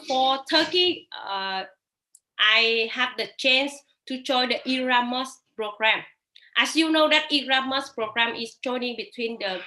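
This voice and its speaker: Bright, high-voiced woman giving webinar advice. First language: not English. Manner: hesitant non-native phrasing with frequent fillers and restarts. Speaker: webinar speaker sharing study-abroad experience. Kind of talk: live webinar talk. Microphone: video call.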